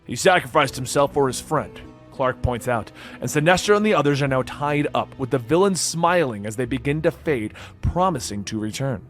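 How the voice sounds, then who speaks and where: A man's voice: mid-range voice, animated, normal speed, few pauses, faint music behind. A man narrating a comic-book story as a dramatic scripted read.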